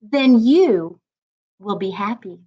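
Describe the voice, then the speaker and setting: A woman voicing a loving, self-sacrificing female tree in a storybook, gentle and soft-spoken. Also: mid-range voice, expressive, slow pace, dull audio. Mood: tender, giving.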